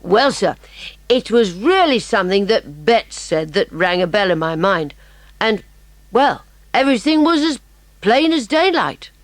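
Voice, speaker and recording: A woman's voice: mid-range voice, big pitch swings, measured pace, no room sound. A woman playing a clever, polite, eager schoolboy detective in a dramatised children's audiobook. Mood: modestly pleased. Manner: polite, explanatory, modest, slightly hesitant. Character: bright, eager, clever boy detective named Fatty.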